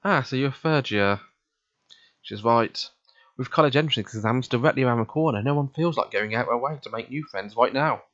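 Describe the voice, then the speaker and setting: A man's voice: mid-range voice, a little fast, fairly bright tone, muffled recording. A man reading a visual novel aloud in a casual, conversational way, acting out dialogue as he goes. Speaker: let's play reader voicing the protagonist.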